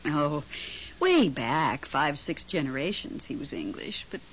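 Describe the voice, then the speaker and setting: A woman's voice: low-pitched, sing-song, measured pace. A woman playing a genteel, chatty widow pining for her late husband in a 1970s radio drama. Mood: chatty, reminiscing. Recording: dull, faintly noisy 1970s radio.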